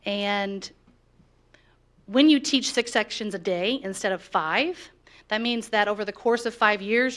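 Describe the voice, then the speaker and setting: A woman speaking for the teachers' union, reading a prepared presentation in a board of education meeting room in a steady, measured, firm way. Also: mid-range voice.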